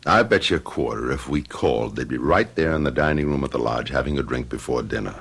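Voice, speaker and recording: An actor playing an easygoing, skeptical husband in a radio drama, dismissive yet placating in a folksy way. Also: male voice, extremely low pitch, animated, fast, vocal fry, fairly bright tone, slightly rough, exaggerated voice. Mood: casual, teasing. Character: easygoing, skeptical husband, gruffly soothing his worried wife.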